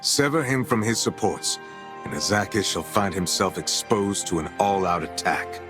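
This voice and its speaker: An actor playing a grave commander giving a formal, measured mission briefing in a video game. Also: male voice, low-pitched, music in the background, close to an everyday voice. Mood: grave, resolute.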